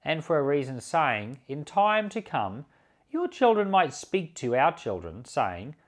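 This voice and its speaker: A man reading the Bible aloud as a preacher, in solemn, deliberate, emphatic short phrases. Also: fairly high pitch, huge pitch swings.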